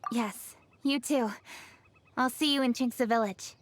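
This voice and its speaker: An actress playing a stern young official in a video game, speaking with firm, commanding authority. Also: female voice, a little high, measured pace, background noise, close to an everyday voice. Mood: businesslike. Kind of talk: video game story dialogue.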